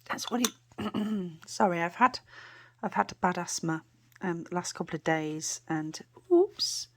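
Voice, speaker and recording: A British woman vlogging casually to camera, chatty and rambling, her voice a bit croaky. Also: on the low side, animated, measured pace, a little hiss or hum. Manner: chatty, rambling with many fillers and restarts.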